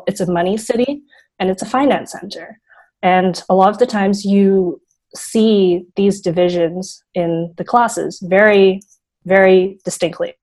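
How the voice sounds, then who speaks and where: A woman's voice: on the low side, a little mellow. A teenage girl from Hong Kong answering interview questions earnestly, with frequent "you know" fillers. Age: teens. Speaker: youth fund founder, guest.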